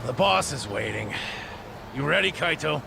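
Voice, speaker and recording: A man voicing a blustery, slightly dim yakuza henchman in an English game dub, quick to bicker. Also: a little high, expressive, creaky, music in the background. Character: hotheaded gravelly male yakuza henchman, dim.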